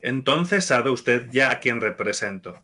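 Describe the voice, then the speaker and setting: A man's voice: mid-range voice, expressive, unhurried, bright, forceful tone. A man playing an anxious political messenger who presses and insinuates. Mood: tense.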